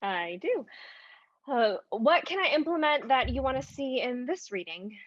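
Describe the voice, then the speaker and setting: A polite, uneasy young actress, her voice a little high. She speaks hesitantly and questioningly in naturalistic drama style, on dry call audio. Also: animated, measured pace, no room sound, highs cut off. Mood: eager, polite. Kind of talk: naturalistic virtual stage acting.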